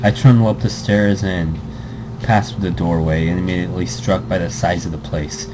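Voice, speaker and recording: Male let's play host, low voice, reads the story aloud and stumbles over words, loud air conditioning hum. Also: steady tone, normal speed. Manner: stumbles and restarts words, chuckling asides. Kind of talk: reading a visual novel aloud with commentary.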